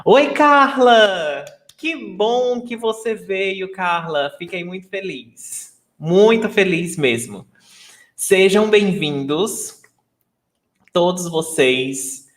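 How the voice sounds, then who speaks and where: A man's voice: high-pitched, animated, takes it slowly, a bit bright. Upbeat Brazilian man teaching a live class. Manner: enthusiastic, greets viewers by name. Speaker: Portuguese teacher. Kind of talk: live online language lesson.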